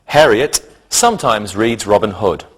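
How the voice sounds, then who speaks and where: A man teaching a scripted English lesson for beginners in a deliberate, instructional way. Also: fairly low pitch, expressive.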